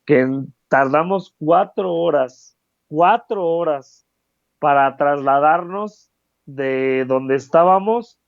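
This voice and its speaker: A man's voice: on the high side, slow pace, creaky, no room sound. A man with a Mexican Spanish accent co-hosting political commentary, speaking earnestly and repeating phrases for emphasis. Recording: dry, dull lo-fi sound.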